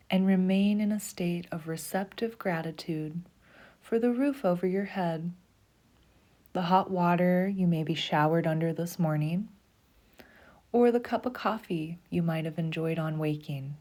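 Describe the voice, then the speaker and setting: Woman, meditation guide, low pitch, mellow warm tone, breathy half-whisper, slow soothing pace. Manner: slow, soothing, even pacing.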